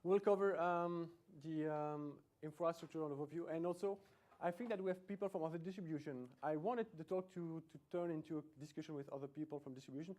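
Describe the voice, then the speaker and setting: A man's voice: fairly high pitch, brisk, few pauses, darkish tone. Belgian-accented man giving a tech talk. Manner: chatty, self-deprecating, many restarts. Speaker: conference speaker. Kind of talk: technical conference talk. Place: lecture room.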